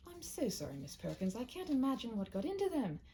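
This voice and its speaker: Woman playing storybook grandma, older, chatty, expressive, fondly exasperated, animated pitch, creaky edges. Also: brisk, slight background noise. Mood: apologetic, exasperated.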